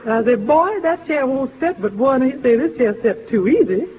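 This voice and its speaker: A man's voice: extremely high pitch, lively intonation, normal speed. A man playing a jolly, sassy woman housemaid in 1940s radio comedy, exclaiming and giggly. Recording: tinny 1940s radio, noisy, studio audience.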